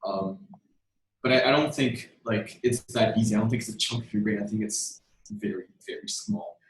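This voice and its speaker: A young man talking casually in a podcast discussion, rambling and thinking aloud. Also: on the low side. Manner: rambling, quick bursts. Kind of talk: casual discussion on video call. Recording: echoey, distant mic.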